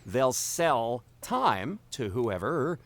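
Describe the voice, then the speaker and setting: A man chatting casually and telling a story, with halting repetitions and acted-out reported conversations. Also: mid-range voice, big pitch swings. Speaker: podcast cast member. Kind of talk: animated casual group chat, storytelling.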